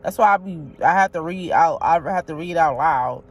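A woman vlogging from inside her car, reading aloud haltingly and chatting straight to her viewers. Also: low voice, breathy edge. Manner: halting read-aloud, stumbles and restarts; chatty, talks directly to viewers. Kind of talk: casual vlog, reading aloud.

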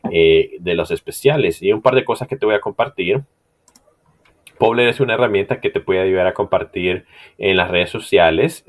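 Low-voiced man giving a chatty screen tutorial. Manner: loose, chatty, many fillers and restarts. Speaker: marketing instructor.

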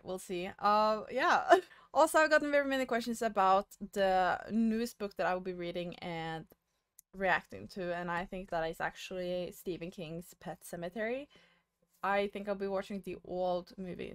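A young woman hosting a movie reaction video from her bedroom, her voice a little raspy from just waking up, chatting casually and exclaiming at scenes. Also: mid-range voice, normal speed, dry recording. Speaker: movie reaction channel host. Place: small home bedroom studio. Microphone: studio mic on arm, headphones.

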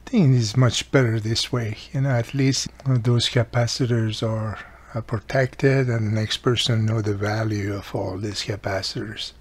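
A man with a husky, gravelly voice, explaining a hands-on repair in a plain-spoken, informal way. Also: lowish voice, fairly even intonation, moderate pace. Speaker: host of a radio repair how-to series.

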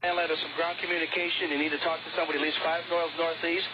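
Male American controller, urgent radio calls, rapid pace, muffled noisy channel. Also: on the high side, flat delivery. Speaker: air traffic controller. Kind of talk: urgent operational radio communication.

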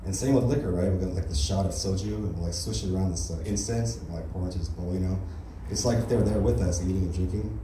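Young American male stand-up, low voice, deadpan and casual, in a noisy small club with a distant mic. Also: quick pace. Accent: General American. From United States. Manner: deadpan, casual, leans on "right?" and "you know?". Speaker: stand-up comedian. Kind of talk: stand-up comedy set. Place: small comedy club stage, indoors. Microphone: handheld mic on stand. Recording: roomy club sound from a far camera.

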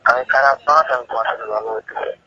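A man's voice: mid-range voice, steady tone, very fast, pushed and bright. A man with a Bangladeshi accent talking privately on the phone, insistent and repeating himself to press his point. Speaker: teacher. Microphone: telephone. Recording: noisy phone call recording with clicks.

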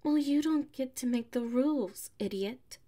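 A woman acting a slow-talking huffy tsundere schoolgirl. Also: high voice, dark tone. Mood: indignant, huffy. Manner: haughty, huffy, sighing, drawn-out phrasing. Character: prickly young female tsundere classmate, flustered, secretly soft-hearted. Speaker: voice actor in audio roleplay. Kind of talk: ASMR roleplay acting.

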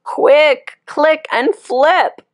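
A woman's voice: high voice, extremely slow, dry recording, voice pushed up. A woman voicing a wise old click beetle, a patient and encouraging teacher in a storybook. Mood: urgent, proud.

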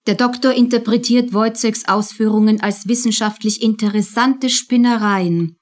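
A woman's voice: on the high side, fairly even intonation, moderate pace. A woman reading scripted narration as the narrator of a radio drama.

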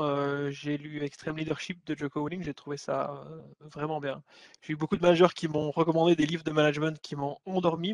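A man's voice: a little high, dry recording. A man with a Belgian French accent introducing himself casually on a video call panel, with a light, dryly joking manner. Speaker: panelist, technical support engineer.

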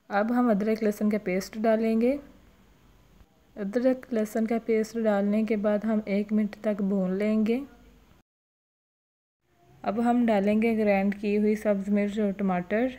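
A woman calmly narrating a home cooking recipe step by step as host of her cooking channel. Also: medium pitch.

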